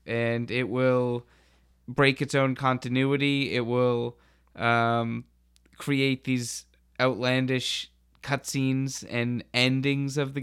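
A man talks casually on a podcast panel, explaining things informally. His voice is clean and smooth, at a middling pitch, and stays fairly flat in melody. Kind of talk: casual chat, explaining and retelling.

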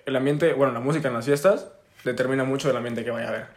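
A man's voice: medium pitch, racing. A young man with a northern Mexican accent, co-hosting a casual chat, teasing and slangy. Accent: northern Mexican Spanish. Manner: teasing, slangy, ends lines with güey. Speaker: podcast co-host. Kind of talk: casual chat among friends.

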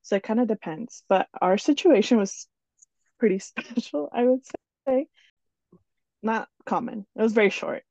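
Young woman, podcast co-host, friendly and relaxed, mellow tone, muffled dry recording. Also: a little high, moderate pace, long pauses, no room sound. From born in Ecuador, raised in New Jersey. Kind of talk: casual chat. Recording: slightly dull, muffled audio.

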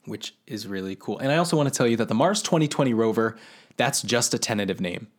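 A man's voice: medium pitch, expressive, fast. A man co-hosting a casual podcast, breaking down space news in plain everyday terms. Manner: explains space news in plain terms, then friendly sign-off. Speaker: podcast co-host. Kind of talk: casual science podcast chat.

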